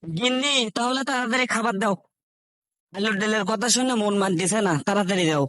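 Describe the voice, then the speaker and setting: A man's voice: high voice, a little fast, fairly bright tone, no echo, studio quality. A man playing a jokey, food-loving village husband in a comic cartoon, teasing and exclaiming, with a northern Bangladeshi Bengali accent. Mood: eager, contented.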